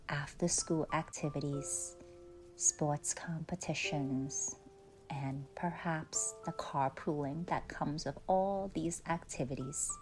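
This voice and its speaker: A woman leading a guided meditation in a soft, soothing, unhurried way with long pauses, often trailing off into breathiness. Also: deep voice, poor audio. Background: faint music.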